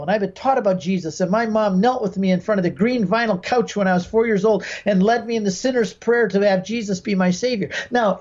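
Emphatic older American man, high-pitched voice, dull audio. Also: darkish tone. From Michigan, United States. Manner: emphatic, acts out quoted speech. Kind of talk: explaining with stories and examples. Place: home room with bookshelves, video call.

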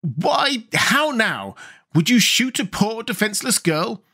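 A man's voice: high voice, wildly swooping pitch, slow pace, bright, forceful tone, voice pushed up, full character voice. A man voicing a fierce, determined young girl who leads a rebel army in a storybook. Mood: reproachful. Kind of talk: storybook character voice.

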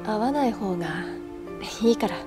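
A woman playing a cool, gentle older schoolgirl in an anime audio drama, guarded and wistful, speaking in an unhurried, understated way. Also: on the high side, lively intonation, over music, lightly acted.

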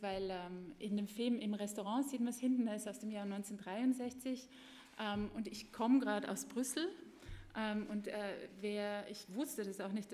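A woman's voice: mid-range voice, darkish tone. A woman answering as a guest in a live stage talk, casual and full of fillers. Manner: casual, many fillers and hesitations. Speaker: performer and interview guest. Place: gallery event space. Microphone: table mic.

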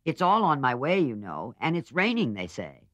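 A man's voice: medium pitch, wildly swooping pitch, moderate pace, fairly bright tone, no room sound, dull audio, voice pushed up. A man voicing a polite, eager young man in a storybook reading. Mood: earnest.

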